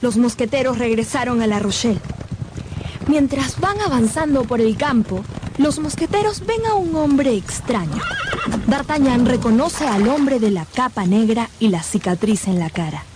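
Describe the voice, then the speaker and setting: A woman's voice: a little high, expressive, moderate pace, noisy background. A woman narrator delivering scripted narration for a radio drama. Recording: music behind the voice.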